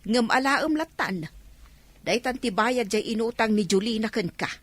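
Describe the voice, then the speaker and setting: An Ilocano-accented woman playing a kindly, apologetic mother in a radio drama, speaking with gentle sympathy. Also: a little high, normal speed, husky. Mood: sympathetic. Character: kindly, apologetic mother covering her daughter's debt.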